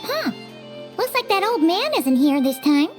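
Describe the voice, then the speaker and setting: A woman voicing a small, excitable, naive fairy companion for a video game, with a squeaky childlike voice and exclamatory delivery. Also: measured pace, heavily acted. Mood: curious. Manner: exclamatory, reacts openly. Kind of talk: English video game dub voice acting. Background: game music.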